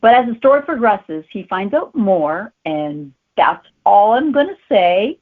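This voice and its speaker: A woman's voice: medium pitch, big pitch swings, measured pace. A woman talking casually as an author being interviewed. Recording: tinny phone-like line.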